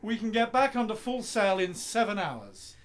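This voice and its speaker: An actor playing an idealistic, stubborn young husband and poet in a radio play, breezy and insistent. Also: male voice, sits high, animated, normal speed. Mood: defensive.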